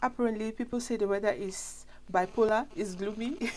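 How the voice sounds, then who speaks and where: A woman's voice: mid-range voice, steady tone, a little fast, a little mellow, a little hiss or hum. A young woman with a Cameroonian accent who has a flu, chatting casually and ramblingly as she addresses her viewers directly. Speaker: vlogger.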